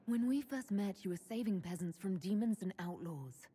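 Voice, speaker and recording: A woman playing a steady, kind, lightly wry leader in a fantasy video game, with a husky voice. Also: medium pitch, moderate pace. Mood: reassuring. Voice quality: husky, raspy, mellow. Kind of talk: video game dialogue acting.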